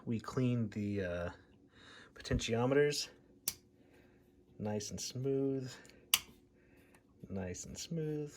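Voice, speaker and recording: A man's voice: mid-range voice, drawn-out words, pauses often, a little mellow, slight background noise. An American man talking informally and thinking aloud as he narrates a hands-on hobby repair video. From United States, Midwest. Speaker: radio hobbyist presenter.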